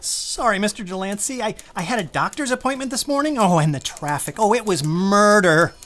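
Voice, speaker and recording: A man's voice: sits high, animated, a little fast, a bit bright. A man playing an exhausted, anxious married insurance salesman in a radio play, flustered and stammering. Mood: flustered, apologetic. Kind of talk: mid-century radio play acting.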